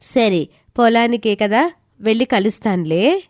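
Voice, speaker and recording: A woman's voice: on the high side, animated, measured pace, a little mellow, dry recording, studio quality. A woman voicing a polite, earnest, reserved village girl in a scripted moral story. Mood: polite, modest.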